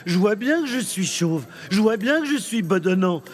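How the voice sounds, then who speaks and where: A plump, balding cartoon sultan, very high in pitch. He is plaintive and sorry for himself, lamenting in a gloomy way. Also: lively intonation, moderate pace. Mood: self-pitying. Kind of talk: French children's cartoon voice acting.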